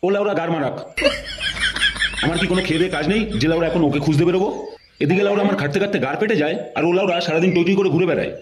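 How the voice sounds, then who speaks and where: A man's voice: high voice, racing, lightly acted. A man voicing a grumpy, foul-mouthed middle-aged father in a comedy cartoon, scolding and griping. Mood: angry. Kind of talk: Bengali comedy cartoon dubbing. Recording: clear background noise.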